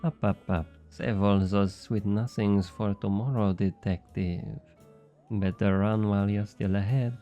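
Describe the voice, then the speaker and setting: An actor playing a smug, flirty thief with a French accent, teasing and coaxing in an intimate ASMR roleplay. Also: male voice, lowish voice, steady tone, a little slow, mellow, soft background music. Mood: smug triumph. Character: obnoxiously flirty, smug male thief and information broker.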